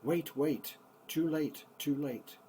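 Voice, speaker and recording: An older man, a grandfather, reading children's poems aloud in an expressive, theatrical bedtime style. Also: fairly high pitch, slow, a little hiss or hum.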